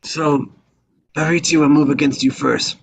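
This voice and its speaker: Man acting a gravelly, weary old mafia don. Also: a little high, fairly even intonation, slight background noise. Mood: reflective. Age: older. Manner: rambling, halting. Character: weary, forgetful old mafia patriarch, male. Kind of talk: live table read of a crime film script.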